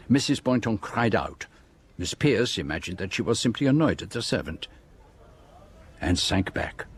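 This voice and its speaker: An actor playing a shrewd, precise Belgian detective with a French-speaking accent, formally laying out a case in a radio drama. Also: male voice, lowish voice, slightly rough. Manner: measured, precise, formal explanation. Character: shrewd, precise, theatrical Belgian detective, middle-aged man.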